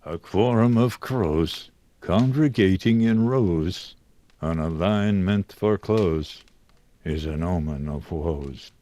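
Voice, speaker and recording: A solemn mystic in a puzzle game, lowish in pitch and very unhurried. He is calm and enigmatic, giving out riddles in measured phrases. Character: calm, cryptic male mystic speaking in riddles. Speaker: voice actor in a puzzle adventure game. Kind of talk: cryptic game dialogue.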